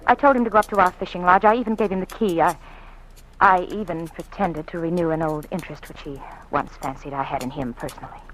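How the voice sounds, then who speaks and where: A woman's voice: lowish voice, fast, close to an everyday voice. An actress playing an anxious, secretive politician's wife in an old radio drama, hesitant and genteel in her speech. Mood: nervous, confiding. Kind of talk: 1940s radio drama acting, melodramatic. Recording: faintly noisy old radio recording.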